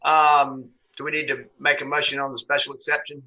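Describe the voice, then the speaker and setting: Bright-voiced man chairing a meeting. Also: medium pitch, little pitch movement, brisk, dull audio. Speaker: board chair. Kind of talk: informal public meeting talk.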